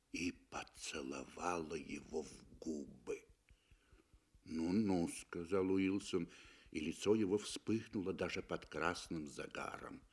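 A man reading literary fiction as an actor in a mid-century Soviet radio play, theatrical and deliberate, with weighty pauses. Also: on the low side, a little mellow.

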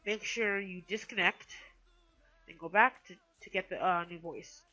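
A woman hosting a casual software tutorial in a hesitant, rambling way, often correcting herself. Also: on the low side, normal speed, poor audio. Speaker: tutorial host.

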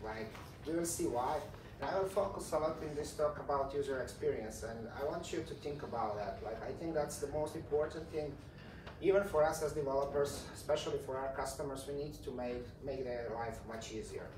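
A man's voice: medium pitch, a little fast. Croatian guy doing an informal conference talk, accented, repeats words, echoey and a bit far from the mic. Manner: informal, repeats words, non-native phrasing. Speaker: conference speaker, tech company CEO. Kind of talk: conference presentation. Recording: roomy, distant mic with faint noise.

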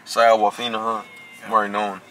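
Very sharp-voiced young man, joking. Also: on the low side, monotone, rapid-fire, far from the mic. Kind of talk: casual joking chat. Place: inside a car. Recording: car interior, off-mic, faint noise.

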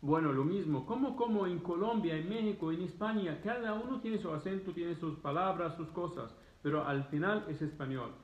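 A man in his thirties with an Egyptian accent, a native Arabic speaker, talking casually to camera in emphatic, halting, repetitive phrases. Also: on the high side. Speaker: vlogger answering students' questions. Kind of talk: casual explanatory talk to camera. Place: living room, indoors. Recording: roomy echo, dull muffled sound.

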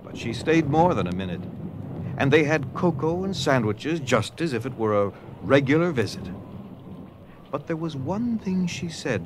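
Expressive male storytelling narrator. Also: medium pitch, background noise. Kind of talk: scripted dramatic storytelling narration.

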